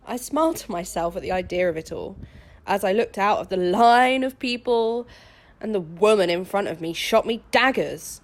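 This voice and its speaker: A woman's voice: mid-range voice, expressive, moderate pace, close to an everyday voice. A woman playing a wryly amused shopper, telling a scripted diary story. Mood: wry, bemused.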